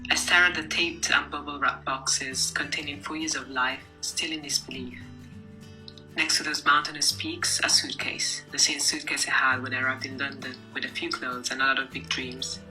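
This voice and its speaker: Female narrator, extremely low register, reflective first-person essay read over clear background music. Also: flat delivery, moderate pace, bright, forceful tone, rough recording. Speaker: reader of a literary text.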